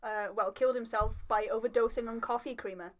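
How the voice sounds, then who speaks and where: A young woman with a fairly high voice and a light Welsh lilt. She co-hosts a casual podcast, rambling along and prone to giggles. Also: steady tone, a little fast. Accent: slight Welsh. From Wales. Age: young adult. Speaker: podcast co-host.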